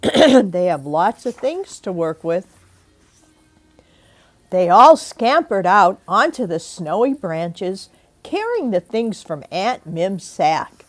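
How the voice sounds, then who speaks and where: An older woman reads a children's storybook slowly and deliberately. Her pitch moves in lively swings, and she turns to her young listeners with asides and questions as a grandmother would. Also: soft background music. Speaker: grandmother reading a picture book aloud. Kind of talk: expressive children's storybook read-aloud. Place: indoors at home.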